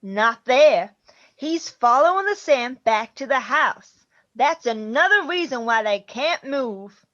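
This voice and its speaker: Casting: woman as nosy, vengeful ghost granny for radio play, higher-pitched, lo-fi sound. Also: lively intonation, slow pace, fairly bright tone, full character voice. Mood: vengeful, defiant. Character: feisty ghost grandmother, vengeful, nosy, haunting her old house. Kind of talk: amateur radio drama acting.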